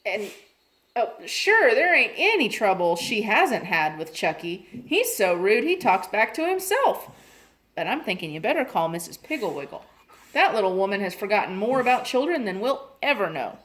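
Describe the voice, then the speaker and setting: A woman reading a storybook and voicing a chatty, folksy housemaid with a higher voice than her own. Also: sing-song, normal speed, fairly bright tone. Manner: folksy, gossipy.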